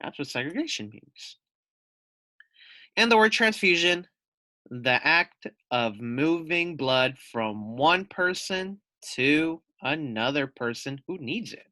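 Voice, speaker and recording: Slow, bright-voiced man teaching children. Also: fairly high pitch, animated, no echo. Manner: explanatory, asks questions and repeats key points. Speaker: teacher reading a children's book aloud. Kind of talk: read-aloud lesson for young children.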